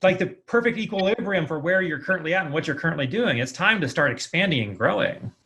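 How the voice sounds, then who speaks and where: A man's voice: a little high, expressive, fast, fairly bright tone. A man hosting a podcast interview in an affirming, effusive, conversational way.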